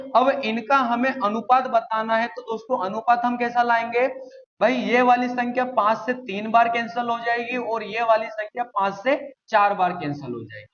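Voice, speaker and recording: Male maths tutor, high voice, patient step-by-step explainer, slightly muffled recording. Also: a bit bright, slight background noise. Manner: explains step by step, often asks and answers his own questions; calls viewers "friends" often. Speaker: maths teacher. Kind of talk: exam-prep maths lesson.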